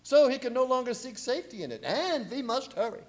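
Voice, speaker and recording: An actor playing a commanding vampire-hunting professor in a radio play, grave, formal and urgent. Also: male voice, extremely high pitch, very animated, brisk, very noisy. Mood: grave, methodical. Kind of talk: live radio play acting.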